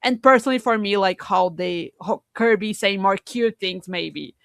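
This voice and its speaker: Woman podcaster, halting delivery, dry close sound. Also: mid-range voice, creaky, dry recording. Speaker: podcast co-host. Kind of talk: casual podcast discussion.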